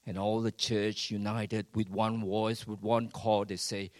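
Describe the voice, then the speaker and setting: A man preaches in unhurried, deliberate phrases. He drops to near-hushed lines, then surges up loud and throws rhetorical questions at the congregation. The sound is close and dry. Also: lowish voice, flat delivery, dry recording. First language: Chinese. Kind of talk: sermon.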